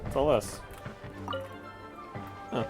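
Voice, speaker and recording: A man's voice: drawn-out words, darkish tone. A man giving casual live commentary as he streams a game, musing wryly to himself. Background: game music.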